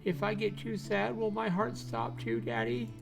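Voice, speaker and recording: A man's voice: high-pitched, sing-song, moderate pace, creaky, pitched above the performer's own voice, heavily acted. A man voicing a sad, curious little boy who asks his father innocent questions, reading visual novel dialogue. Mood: worried, questioning. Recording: music behind the voice. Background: guitar music.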